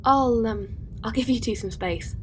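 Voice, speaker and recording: A woman's voice: fairly high pitch, unhurried, slight background noise, lightly acted. A woman playing a friendly, caring alien spacefarer in a science-fiction audio drama, talking in a casual, considerate way. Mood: concerned.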